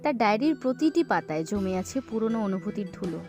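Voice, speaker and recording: A woman's voice: medium pitch, lively intonation, fast talker, darkish tone, faint music behind. A woman narrating a scripted suspense story in a dramatic way.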